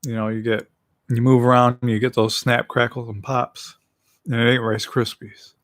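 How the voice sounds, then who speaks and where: A man's voice: medium pitch, level tone, normal speed, a bit bright, dry recording, clean studio sound. A man in his late twenties with a slight lisp, chatting casually and rambling with self-deprecating jokes on his own livestream. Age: late 20s. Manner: eating while talking. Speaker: livestream host. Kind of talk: casual solo livestream chat.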